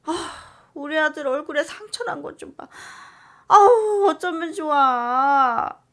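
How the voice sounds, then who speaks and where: A woman voicing a harsh middle-aged mother who scolds her daughter and dotes on her son, speaking loud, shrill and scornful in a storybook reading. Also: lively intonation, slow pace, heavily acted. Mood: fussing. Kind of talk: storybook reading with acted voices.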